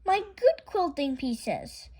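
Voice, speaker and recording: An exasperated lady of the house from a children's storybook, acted out by a woman reader. Her voice is high and very mobile in pitch, with an emphatic, long-suffering delivery. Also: sing-song, slow, mellow, exaggerated voice. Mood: dismayed, exasperated.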